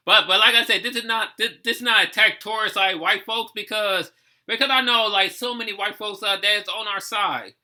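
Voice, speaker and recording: Give this voice to a man in his thirties from the United States. It is high and very sharp in tone. He delivers casual, impassioned commentary as a video host, repeating phrases and swearing bluntly. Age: 30s. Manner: repetitive, outspoken, frequent swearing.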